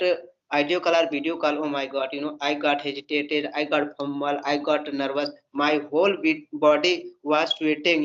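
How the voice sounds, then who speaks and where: A man's voice: a little high, fairly bright tone. Hindi-speaking man, full of "you know". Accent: Indian English. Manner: repetitive, leans on "you know" and "oh my God". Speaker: English speaking practice partner. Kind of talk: English practice conversation. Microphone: video call. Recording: muffled video call audio.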